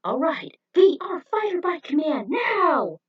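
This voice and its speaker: A woman voicing excitable young adventure heroes in a fan story, urgent and exclamatory, recorded lo-fi. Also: extremely high pitch, measured pace, recorded from a distance, pitched above the performer's own voice, full character voice. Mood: alarmed, urgent. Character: excitable young hero troopers in a battle adventure. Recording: dull lo-fi home recording.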